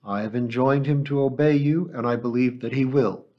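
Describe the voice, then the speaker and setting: A man's voice: medium pitch, normal speed, lo-fi sound, natural voice. A male audiobook narrator voicing a polite, earnest teenage boy, who also recites a solemn memorized message. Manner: respectful, earnest.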